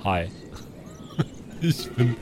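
A man voicing a dim, cocky space outlaw in a halting, blunt way during a tabletop role-play. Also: background noise.

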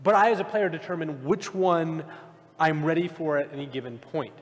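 A man with a fairly high voice, arguing his point in a measured way on a convention panel. His microphone picks up a long, hall-like tail. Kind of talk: live panel discussion. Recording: hall mic with long reverb.

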